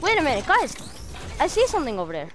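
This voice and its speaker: A female player chatting in improvised game roleplay, excitable and bossy, on a home gaming mic. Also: high voice, quick pace, a little hiss or hum.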